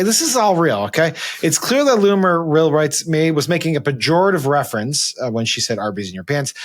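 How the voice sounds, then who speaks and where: A man's voice: on the high side, fairly bright tone. A man co-hosting a casual panel chat, giggly and often struggling to keep his composure. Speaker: podcast co-host.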